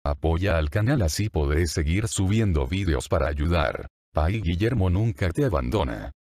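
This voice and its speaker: A man's voice: deep voice, lively intonation, normal speed, a little mellow, dry recording. A man giving a solemn, proclaiming welcome as host of his own channel. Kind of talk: scripted channel intro.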